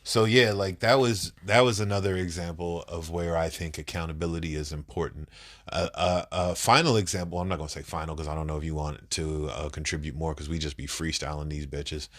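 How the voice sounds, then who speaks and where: A man's voice: very deep voice, fairly even intonation, fairly quick. A man co-hosting a couples podcast, congested and nasal from a cold, talking in a blunt, emphatic, rambling way. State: congested, under the weather. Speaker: podcast co-host. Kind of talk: casual couples podcast chat.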